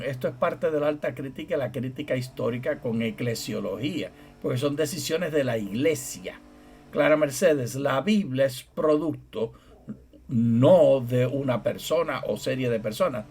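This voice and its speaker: A middle-aged man co-hosting a Bible study program, explaining things patiently and steering the talk back to the topic. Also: mid-range voice. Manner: explanatory, teasing, steers the conversation back on track. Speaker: co-host, theologian and professor.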